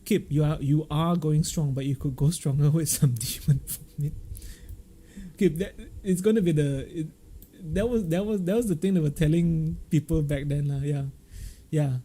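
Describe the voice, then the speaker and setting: A man with a Malaysian accent hosting a casual livestream, chatting and telling stories in an animated, jokey way full of local slang. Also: a little high, normal speed. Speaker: livestream host. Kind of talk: casual live-stream chat and storytelling.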